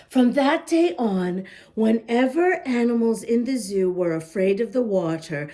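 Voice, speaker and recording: A woman reads a picture book aloud for young children in a high voice. Her delivery is slow and expressive. She speaks directly to her small listeners, with instructive asides along the way. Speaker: children's story reader.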